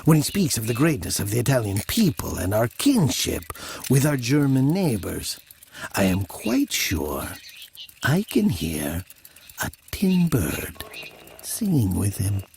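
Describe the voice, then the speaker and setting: A man's voice: mid-range voice, wildly swooping pitch, unhurried, vocal fry, a little mellow, slight background noise, full character voice. An actor playing an elderly, wealthy Italian man who tells his tale in a formal, theatrical manner. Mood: uneasy, measured. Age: older. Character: elderly Italian man, wealthy former mayor, uneasy storyteller. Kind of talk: dramatic first-person storytelling.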